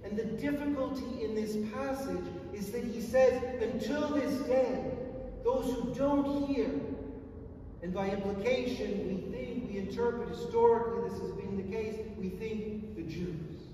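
A man in his forties, an Orthodox priest, preaching a sermon in a large stone church, measured and emphatic with long pauses. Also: high-pitched, mellow, slight background noise. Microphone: far camera. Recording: echoey church from far off.

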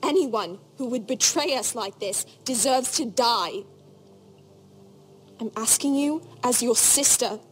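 A woman's voice: on the high side, slow. A grave teenage girl of a noble house in a fantasy game drama. She speaks with earnest, troubled urgency and intensity, with music playing behind her. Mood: grave, resolute. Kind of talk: dramatic video game acting.

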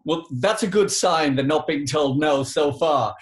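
A man's voice: a little high, measured pace. A middle-aged man hosting a chatty interview from a living room, with wordy, roundabout questions. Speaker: radio host, interviewer. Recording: echoey living room video call.

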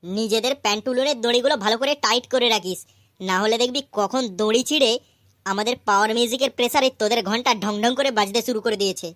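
A cheeky little cartoon sidekick voiced by a woman, talking very fast in a fairly high voice. The character is loyal and scrappy, full of teasing chatter, recorded dry. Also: a bit bright, no echo, lightly acted. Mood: taunting. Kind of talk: cartoon dialogue.

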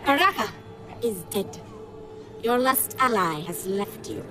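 An actress playing a cold, scheming insect-woman minister in a fantasy game, formal, detached and calmly menacing. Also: mid-range voice, slow pace, music in the background. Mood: cold defiance. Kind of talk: dramatic fantasy game acting.

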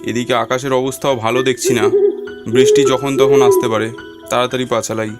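A man voicing an uneasy, curious government employee newly arrived in a horror cartoon, with animated, jittery delivery. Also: mid-range voice, fast talker, over loud music, lightly acted. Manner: animated, talks to himself, stammers when startled. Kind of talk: horror cartoon voice acting and first-person narration.

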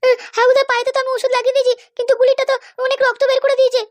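A man voicing a caring cartoon aunt who coaxes and reassures in a squeaky voice. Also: completely flat delivery, very fast, no echo. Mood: soothing. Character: caring, soothing cartoon aunt tending the wounded. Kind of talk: amateur comedy cartoon dubbing.

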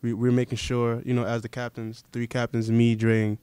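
Young male player, mellow smooth voice, flat delivery, soft-spoken, dry close mic. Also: mid-range voice, a little fast, dry recording. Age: young adult. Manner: soft-spoken, many fillers. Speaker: college basketball player. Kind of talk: postgame press conference answers. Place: press conference room. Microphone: table mic.

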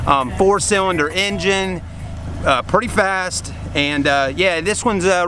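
A man's voice: on the high side, a bit bright, a little hiss or hum. A man in his thirties giving a casual, enthusiastic walkaround of a car, camera held close. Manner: enthusiastic, rambling, lots of fillers. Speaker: car dealership vlogger. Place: outdoors in a parking lot and an open car.

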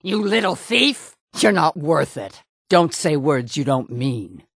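A woman voicing a gruff, proud female orc warrior in a video game, blunt and menacing, with a gravelly, husky voice. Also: low voice, big pitch swings, takes it slowly, no room sound, exaggerated voice. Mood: threatening, angry. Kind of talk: video game character voice lines.

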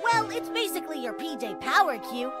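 A smug boy mad scientist, very high and wildly animated. He taunts slowly and theatrically, drawing words out like a cartoon villain, with loud music behind him. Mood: taunting. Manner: taunting, drawn-out villainous delivery. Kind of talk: cartoon video game acting.

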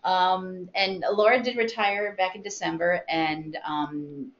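A woman's voice: on the low side, steady tone, takes it slowly. An American woman hosting an informal talk about books, chatty and explanatory, given to small digressions. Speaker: webinar host, library consultant. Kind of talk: informal book talk to colleagues. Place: meeting room with colleagues around a table. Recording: dull, noisy conference room audio.

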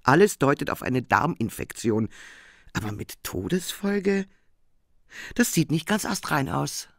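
A man's voice: on the high side, big pitch swings, a little slow, creaky, dry recording, clean studio sound, exaggerated voice. A man playing a sober, sceptical police officer with a clipped, report-like delivery in a German crime radio play.

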